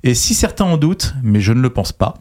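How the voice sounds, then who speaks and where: A man's voice: medium pitch, very animated, a little fast, a little mellow. A man hosting a casual quiz segment on a talk show, bantering and teasing as he puts questions and quotes to the panel. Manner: teasing, joking.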